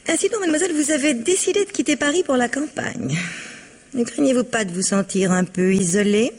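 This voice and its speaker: A haughty invalid wife in an old-style French radio drama. Her voice is husky rather than smooth, and she speaks with cutting, spiteful politeness, every phrase meant to put someone in their place. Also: fairly high pitch, brisk, darkish tone. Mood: icy politeness. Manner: icy, cutting, falsely polite.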